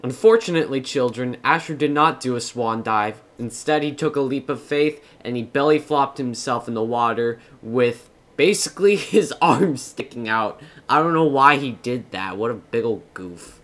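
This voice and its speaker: A man's voice: medium pitch, moderate pace, fairly bright tone. A young man giving amused, mocking voice-over narration.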